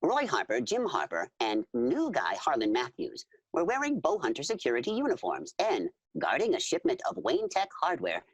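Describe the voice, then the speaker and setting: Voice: mid-range voice, wildly swooping pitch, normal speed, few pauses, slightly rough, no room sound, dull audio, exaggerated voice. A performer voicing an earnest insectoid alien in an audio drama, speaking formally and literally in the third person. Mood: pompous narrating. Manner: formal, literal, repeats full names, refers to self by name. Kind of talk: audio drama character acting and narration.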